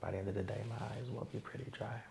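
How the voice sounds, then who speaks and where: A man's voice: on the low side, fairly even intonation, rapid-fire, gravelly, darkish tone, reverberant, a little hiss or hum. A man playing the patient in an ASMR medical roleplay, giving brief, laconic, easygoing answers.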